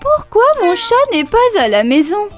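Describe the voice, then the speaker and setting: An actor voicing an excitable, cheeky little lion cub in a children's cartoon, talking in singsong rhymes over music. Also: very high voice, moderate pace. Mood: whiny, playful. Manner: singsong rhyming delivery. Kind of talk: rhyming children's cartoon dialogue. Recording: light music behind.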